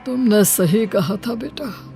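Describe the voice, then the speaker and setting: A woman voicing a concerned older parent in a story dialogue, measured and troubled. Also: mid-range voice, gravelly, dark tone. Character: concerned older parent addressing a grown child. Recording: music bed under the voice.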